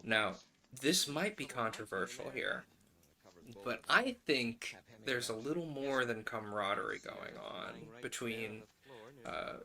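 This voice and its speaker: Man, bright clear-edged tone, mid pitch, casual streamer chat, chatty, thinking aloud. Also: animated, slow. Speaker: streamer and host. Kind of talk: casual livestream chat while crafting.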